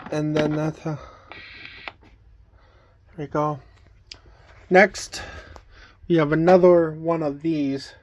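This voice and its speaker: A man casually showing items from a collection in a plain, halting, item-by-item way. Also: a little high, steady tone, slight background noise. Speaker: collector showing weather radios. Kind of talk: casual show-and-tell vlog.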